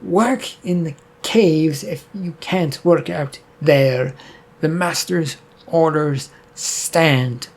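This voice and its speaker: A man voicing a cruel clawed overseer barking slow, harsh commands at child workers. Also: on the high side, exaggerated voice.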